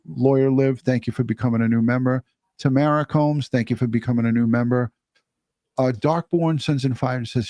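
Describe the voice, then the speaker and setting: A man co-hosts a livestream and reads viewer messages aloud in a blunt, offhand way. He swears freely. His voice is mid-pitched and recorded dry, with no room sound. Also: dark tone, dry recording. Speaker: livestream co-host. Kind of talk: casual chat reading viewer messages.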